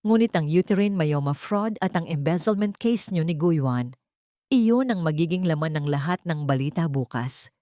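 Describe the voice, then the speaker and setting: A woman voicing a cold, vengeful company director with a cutting, deliberate delivery. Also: low voice, animated, warm tone, no room sound, studio quality. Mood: commanding.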